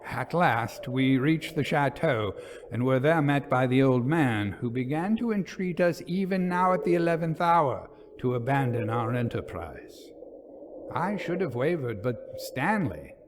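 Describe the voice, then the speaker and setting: A male storyteller delivers a gothic tale with theatrical flair. His voice sits a little high and moves widely up and down, placing phrases deliberately to build suspense. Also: background noise. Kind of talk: dramatic reading of a gothic ghost story.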